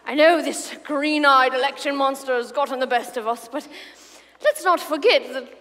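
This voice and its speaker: High, haughty schoolboy rival, played by a woman. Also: normal speed, fairly bright tone. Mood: earnest, musing. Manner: pompous, theatrical, old-fashioned phrasing. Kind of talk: comic musical staged reading. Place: on stage in a large hall. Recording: echoey live hall, music behind.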